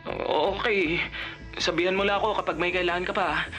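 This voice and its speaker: High, bright, eager sidekick. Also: male voice, steady tone, fairly quick, faint music behind. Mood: helpful, concerned. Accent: Filipino. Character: eager, teasing young male hacker sidekick. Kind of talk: Filipino radio drama acting.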